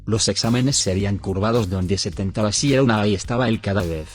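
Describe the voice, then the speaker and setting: A man reading internet stories aloud in a steady, even scripted narration. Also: lowish voice, fast. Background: soft background music.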